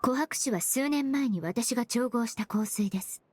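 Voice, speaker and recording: A calm, analytical young female investigator from a game played by a woman. Her voice sits fairly high and she lays things out politely, step by step. There is some faint noise behind her. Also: normal speed, lightly acted. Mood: coolly probing. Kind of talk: Japanese game dub dialogue.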